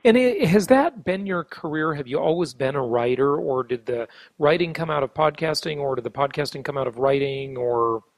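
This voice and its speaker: A man's voice: a little high, moderate pace, hardly any pauses, no echo. An American man interviewing a guest conversationally as a podcast host.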